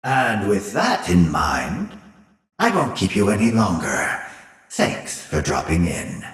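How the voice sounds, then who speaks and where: An actor playing an arrogant older mad scientist villain in a video game, pompous and mock-polite, with theatrical, drawn-out phrasing. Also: male voice, sits low, big pitch swings, a bit bright, distant mic, full character voice. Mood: mock-polite, gloating. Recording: roomy echo, off the mic.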